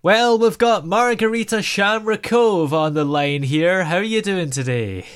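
A man's voice: sits high, a little slow, clear, resonant tone, soft background music. A man hosting an interview show, asking questions and giving short encouraging responses. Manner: brief encouraging backchannels. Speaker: radio host.

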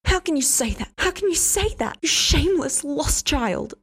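A woman putting on a high, syrupy, pious woman. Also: moderate pace. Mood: shrill, outraged. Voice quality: sweet, syrupy, condescending. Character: syrupy, pious, controlling woman, a meddling friend. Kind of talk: storybook reading.

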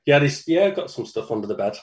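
A man's voice: mid-range voice, lively intonation, fast talker. A man with a Manchester accent, a band's singer, chatting easygoing and matter-of-fact as a guest over a video call. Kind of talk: casual interview chat.

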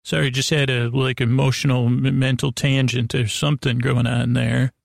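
A man with a creaky voice hosting a bedtime podcast in a meandering, halting, improvised monologue with soothing intent. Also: mid-range voice, level tone, no echo, clean studio sound. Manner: meandering, halting, stumbles over words, self-interrupting; soothing, lulling. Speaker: podcast host.

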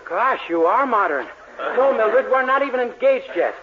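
A man's voice: very high-pitched, big pitch swings, normal speed, a crowd in the background. An actor playing a naive, bashful, earnest young man with an innocent, literal-minded manner in a 1940s radio sitcom. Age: young adult. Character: naive, earnest, bashful young bachelor, dim but eager. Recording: dull, noisy 1940s radio recording.

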